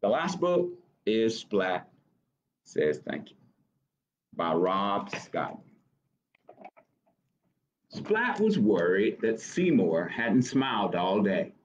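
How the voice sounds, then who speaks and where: A man in his thirties reading a picture book aloud to children as storytime host, expressive and encouraging, with chatty asides to young listeners. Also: fairly low pitch, drawn-out words, long pauses, slight background noise. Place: small office, indoors. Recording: dull webcam audio.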